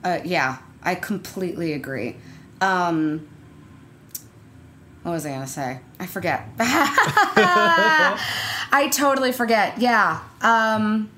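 A woman's voice: medium pitch, takes it slowly, bright, forceful tone, a little hiss or hum. A woman in her twenties, an actor and screenwriter, chatting as an interview guest with lots of restarts and small laughs. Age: 20s. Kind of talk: casual interview chat.

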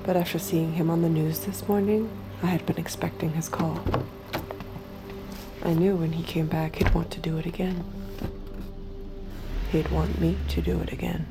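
An actress playing a guarded, detached young escort in an intimate audio drama, speaking in a cool, measured way. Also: female voice, on the low side, little pitch movement, over music, lightly acted. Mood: confessional, brooding. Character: guarded, detached young woman escort hiding her true self. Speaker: lead character and narrator. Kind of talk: intimate audio drama acting with inner narration.

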